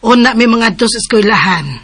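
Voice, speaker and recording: A caring aunt, coaxing, bright breathy voice, dry sound. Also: female voice, fairly high pitch, animated, fairly quick, no room sound. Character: caring aunt of a young man. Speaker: radio drama actress.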